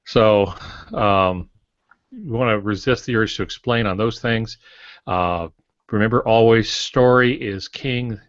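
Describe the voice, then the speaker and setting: Man, fairly deep, advisory podcast teacher, maxim-prone, dry sound, dulled lo-fi audio. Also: a little slow, no echo. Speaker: podcast co-host and writing teacher. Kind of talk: casual instructional talk.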